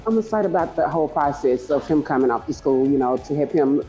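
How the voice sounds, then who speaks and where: A woman's voice: sits low, animated, fast, dark tone, low-quality recording. A middle-aged woman, a student's parent, speaking informally on camera in an indoor lounge. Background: music.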